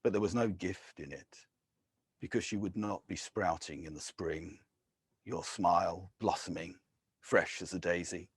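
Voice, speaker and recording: An English man giving a measured poetry reading, with soft, hesitant asides between poems. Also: low voice, slightly rough, no room sound, studio quality. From England. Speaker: poet.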